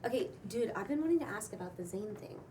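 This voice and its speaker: A woman's voice: medium pitch, lively intonation, quick pace, mellow, close to an everyday voice. A woman playing a blunt, skeptical and somewhat awkward young newcomer in a table read of a pilot script. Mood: awkward, probing. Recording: distant microphone with faint room noise.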